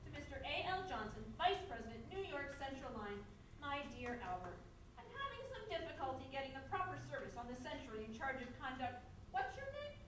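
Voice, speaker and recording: A woman playing a brisk, shrewd, commanding theatre manager in a stage comedy. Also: high-pitched, big pitch swings, a bit bright. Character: brisk, bossy female theatre manager and fixer. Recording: very echoey hall, distant mic, noisy.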